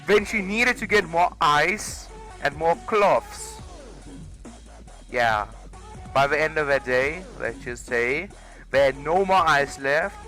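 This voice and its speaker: A man's voice: fairly high pitch, expressive, slow, bright, forceful tone. A young man, telling a personal story casually with exaggerated comic emphasis, over music. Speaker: video creator.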